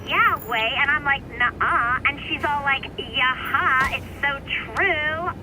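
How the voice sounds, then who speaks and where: A young, ditzy gossip in a video game. She chats in slangy, stretched-out phrases at a high pitch, with noise behind her. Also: takes it slowly. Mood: gossipy. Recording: clear background noise.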